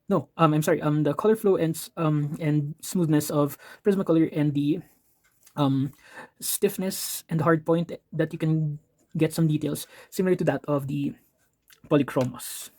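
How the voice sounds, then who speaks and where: A man's voice: fairly high pitch, a little mellow. Rambling Filipino man in an art vlog. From Philippines. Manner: rambling, filler-heavy, self-correcting, apologetic asides. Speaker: art vlogger. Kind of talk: casual haul vlog.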